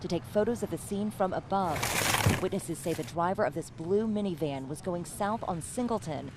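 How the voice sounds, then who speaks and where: A woman TV news reporter reading a scripted story in a measured, steady voice. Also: lowish voice, very noisy.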